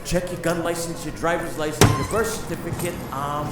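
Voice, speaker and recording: An actor playing a flustered, incredulous police officer in an audio drama, hesitant and stammering. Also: male voice, a little high, fairly quick, very noisy, close to an everyday voice. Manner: hesitant, stammering, dryly comic. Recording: echoey, faint music underneath. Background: faint music.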